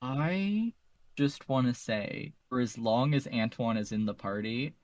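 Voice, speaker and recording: A man's voice: medium pitch, expressive, a little slow, a little mellow, no echo. A man speaking as a podcast panelist in a casual fan discussion, earnest and emphatic, repeating phrases for stress.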